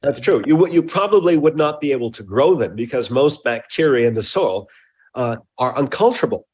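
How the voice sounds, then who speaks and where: A middle-aged or older man, a research scientist speaking as an interview guest, explains technical matters in a deliberate, precise way. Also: mid-range voice, animated, darkish tone, slightly rough.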